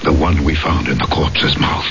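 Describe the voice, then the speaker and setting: An actor playing a grave, haunted police official in a 1970s radio mystery, speaking in a formal, deliberate, dramatic way. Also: male voice, rumbling low, flat delivery, over music. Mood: ominous. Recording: muffled, noisy 1970s radio recording.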